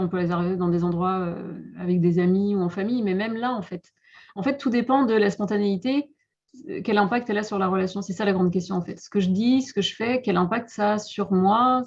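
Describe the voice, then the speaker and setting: A woman's voice: medium pitch, a little mellow. A woman answering questions in a webinar, thoughtful and hesitant, often restarting her sentences over a video call. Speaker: guest speaker. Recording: dull lo-fi call audio.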